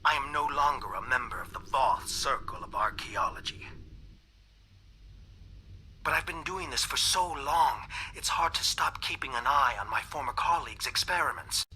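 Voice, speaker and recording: An actor playing a fearful dissident alien scientist in a game cutscene, speaking in a measured, grave manner. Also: male voice, medium pitch, lively intonation, pushed and bright, slight background noise.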